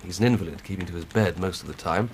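An English actor playing an earnest, plain-spoken police inspector in a radio drama, reporting methodically and emphatically. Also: male voice, low voice, fast, slight background noise. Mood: earnest, matter-of-fact. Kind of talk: 1990s British radio drama acting.

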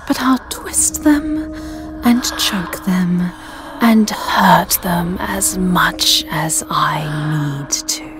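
A woman playing a sinister, jealous living porcelain doll maid in a dramatic ASMR roleplay, speaking in a breathy whisper with a menacing, savoring delivery. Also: lowish voice. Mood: gloating, vicious. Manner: slow, menacing, theatrical, savoring phrases. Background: music.

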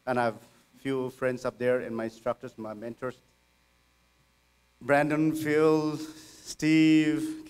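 A man's voice: medium pitch, darkish tone. Nervous middle-aged man at a stage mic. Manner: nervous, halting, laughs shyly. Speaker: mountain guide. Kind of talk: acceptance speech. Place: stage in a dark event venue. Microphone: stand mic.

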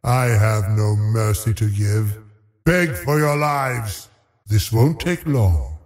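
An actor playing a haughty, cruel undead sorcerer in a fantasy video game, gravelly and menacing, with theatrical, imperious delivery. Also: male voice, fairly low pitch, slow pace. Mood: cold menace. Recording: long cavernous reverb.

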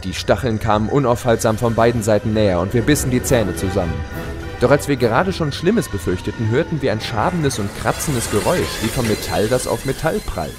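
A man's voice: mid-range voice, a little fast, music in the background, close to an everyday voice. A man playing an eager adventurous pony hero, expressively narrating his tale in an audio drama. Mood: storytelling. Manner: expressive, storytelling.

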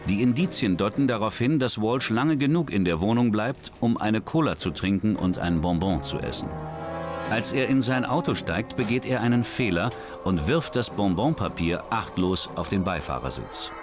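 A man reading scripted true-crime documentary narration in a measured, dramatic way. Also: lowish voice, expressive. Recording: studio voice-over with music behind.

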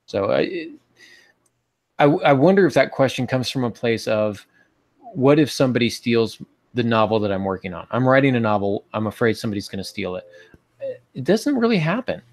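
A man's voice: medium pitch, very animated, moderate pace, no echo, studio quality. A middle-aged man chatting casually as a podcast host in a writers' discussion, recorded on a video call. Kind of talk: casual panel discussion on writing. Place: small home office, indoors. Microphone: earbud headset on video call.

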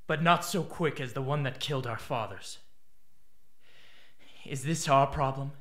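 A man's voice: medium pitch, unhurried, a bit bright, lightly acted. An actor playing an embittered, intense young male rebel in a radio drama. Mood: angry, bitter.

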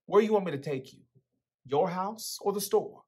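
A man's voice: sits high, animated, moderate pace, a little mellow, husky. A young man with an American accent playing a guarded, streetwise teenage boy in a dramatic book reading. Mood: defensive. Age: young adult. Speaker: actor reading a character's dialogue. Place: indoors in a small plain room. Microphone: video call, close to computer.

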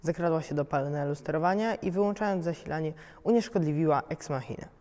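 A young teenage narrator reads a scripted story at a medium pitch. The voice rings in a long, hall-like reverb. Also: fast, mellow.

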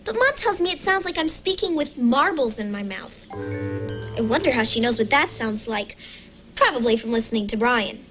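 An actress playing a cheerful young storybook heroine, chatty and lightly playful in a children's TV show. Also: female voice, on the high side, animated, moderate pace, natural voice. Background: background music.

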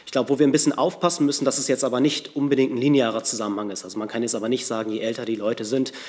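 Quick-talking young male researcher. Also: mid-range voice, steady tone, darkish tone. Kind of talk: explaining study findings at a press conference. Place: large indoor press conference room.

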